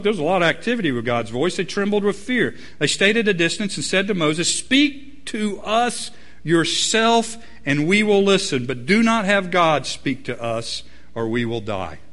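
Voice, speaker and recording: A man preaching a sermon, swinging between loud emphatic peaks and low quiet asides. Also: lively intonation, normal speed. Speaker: pastor.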